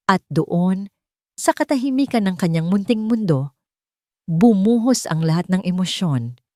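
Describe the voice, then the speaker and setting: A woman's voice: fairly low pitch, warm tone, dry recording, studio quality. A woman narrator reading a scripted dramatic romance story.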